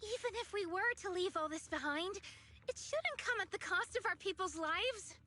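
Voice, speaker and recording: Game heroine: young, principled, very high bright voice, earnest and firmly confronting. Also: female voice, lively intonation, normal speed, full character voice. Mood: earnest, challenging. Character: principled, defiant young heroine protecting her tribe and friend. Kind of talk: dramatic video game voice acting.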